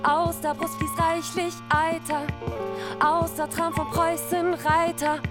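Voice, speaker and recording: Casting: playful rhyme narrator, high voice, slow declaiming sing-song over music. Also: female voice, flat delivery. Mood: playful, mock-epic. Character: playful female balladeer telling comic rhymes. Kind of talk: rhymed narration in radio play.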